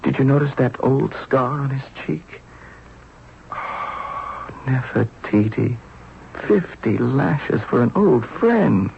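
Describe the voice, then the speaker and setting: An actor playing a mild, earnest young pharaoh and forgiving husband in a 1970s radio drama. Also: male voice, medium pitch, expressive, slow pace, gravelly, pauses often. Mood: troubled, earnest. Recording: dull, noisy old radio broadcast recording.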